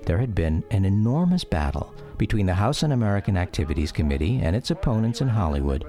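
A man's voice: lowish voice, sing-song, fast, dark tone, soft background music. A man performing as a reflective grown son, narrating a scripted radio drama about his father. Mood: measured reflective.

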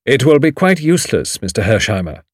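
Male reader as older English lawyer, commanding, dry wit, measured, precise, slightly husky, audiobook dialogue. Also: medium pitch, very animated, dry recording, pitched above the performer's own voice. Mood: cool, formal.

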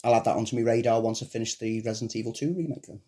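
Husky man, eager. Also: mid-range voice, steady tone, racing, dark tone. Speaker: podcast co-host. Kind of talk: casual chat.

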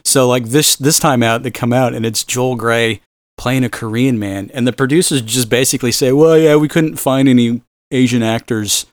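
A man's voice: mid-range voice, fairly even intonation, normal speed, dry recording, studio quality. A man co-hosting a film podcast in a casual, rambling, exasperated way, swearing freely. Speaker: podcast host.

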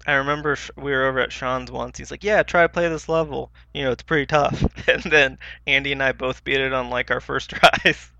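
Bright, crisp, gravelly man, casual podcast talk. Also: mid-range voice, steady tone, a little fast, few pauses, no room sound, lo-fi sound. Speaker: podcast co-host. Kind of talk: casual chat about video games.

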